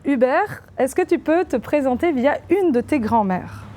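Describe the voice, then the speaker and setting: A woman hosting a podcast interview, asking questions in a relaxed, friendly way. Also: high-pitched, unhurried. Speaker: podcast host.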